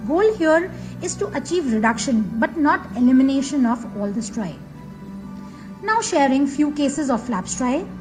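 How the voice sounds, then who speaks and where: A woman with an Indian English accent reading a scripted medical video narration slowly and evenly. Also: sits high. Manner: slow, even reading of a prepared script. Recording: voice-over with background music.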